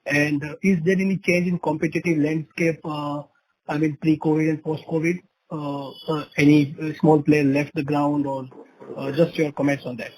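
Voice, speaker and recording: A man's voice: fairly high pitch, little pitch movement, moderate pace, a little mellow. A man with an Indian accent asking questions as an analyst on an earnings call, in hesitant, stop-start phrases. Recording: faintly noisy conference call.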